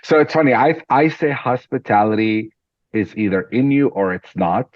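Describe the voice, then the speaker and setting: A man from Syria, a guest entrepreneur, telling stories in an animated, conversational interview and often repeating words as he starts a sentence. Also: medium pitch, normal speed, no echo, dull audio.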